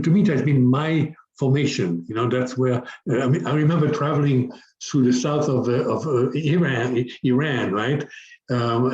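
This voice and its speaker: A man's voice: medium pitch, darkish tone, echoey room, highs cut off. A middle-aged man from France, a business coach speaking as a guest in an interview, talking hesitantly with many restarts and fillers. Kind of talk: conversational interview.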